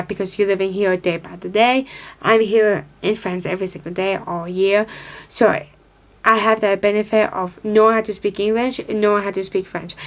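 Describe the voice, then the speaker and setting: A woman's voice: medium pitch, rough recording. A woman in her twenties from upstate New York with an American accent, casually talking to camera, rambling with lots of fillers and restarts while glancing at notes. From upstate New York, United States. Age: 20s. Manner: reads from notes, looking down. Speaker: vlogger.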